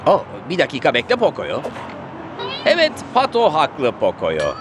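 A man playing an excitable, caring cartoon narrator who talks expressively to small children. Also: on the high side, moderate pace, noisy background. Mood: excited. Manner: addresses child viewers, exclamatory, expressive. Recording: music behind the voice. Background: background music.

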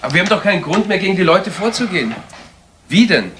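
A man's voice: sits high, big pitch swings, quick pace, background noise. An actor playing a sceptical detective who doubts his partner in a German crime radio play, with a colloquial, objecting manner.

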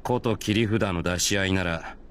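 Low-voiced, blunt warrior. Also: male voice, a little slow, background noise. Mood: calm, blunt. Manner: rough, casual, matter-of-fact speech. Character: gruff, confident male spear-wielding warrior, blunt and unflinching. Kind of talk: visual novel game acting.

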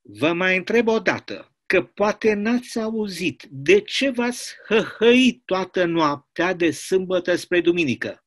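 A man's voice: sits high, expressive, fairly bright tone. A man voicing a bullying, indignant communist prison governor in a stage play, interrogating with menacing emphasis. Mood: stern.

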